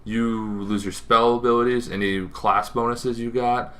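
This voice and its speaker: A man's voice: medium pitch, fairly even intonation, unhurried, a bit bright. A man hosting an informal explainer, talking in a rambling way with asides and self-corrections. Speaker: host of a tabletop gaming show.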